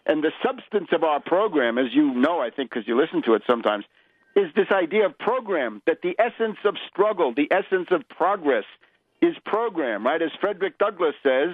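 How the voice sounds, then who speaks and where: Guy hosting political radio talk, kinda high voice, lectures a bit, dry but muffled lo-fi sound. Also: very animated, brisk, dry recording. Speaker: radio show host. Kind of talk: conversational political radio interview.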